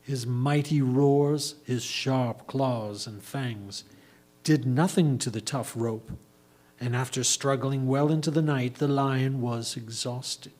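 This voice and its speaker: Slow, theatrical male storyteller. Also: mid-range voice, a little mellow. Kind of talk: dramatic story reading for reader's theatre.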